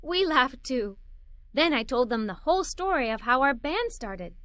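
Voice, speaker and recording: Woman as excitable little girl, bright high voice, playful storybook narration, curious and adventurous, dry close sound. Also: normal speed, no room sound. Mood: cheerful, excited. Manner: animated, playful, expressive. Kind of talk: scripted children's story read-aloud.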